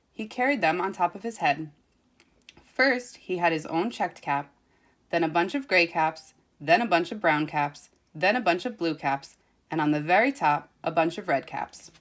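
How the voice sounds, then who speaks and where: A woman reading a children's storybook aloud like a teacher, expressively and deliberately, stressing repeated phrases. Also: fairly low pitch.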